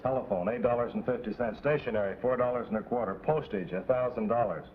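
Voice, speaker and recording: A man's voice: medium pitch, fast, close to an everyday voice. A man playing a doubtful, grumbling sidekick with a dry, skeptical delivery in a 1950s TV adventure drama. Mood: wry complaint. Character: doubtful, grumbling male American sidekick agent. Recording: tinny old TV soundtrack, clear noise.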